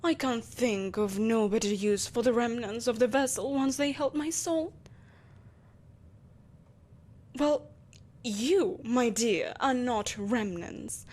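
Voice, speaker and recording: A woman playing a vengeful, possessive empress in an audio roleplay, speaking in a haughty, theatrical and deliberately menacing way. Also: on the high side, a little mellow. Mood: smug, coercive. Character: vengeful, possessive evil empress, soulless, imperious, coaxing a captive. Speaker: voice actor. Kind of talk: scripted audio roleplay acting.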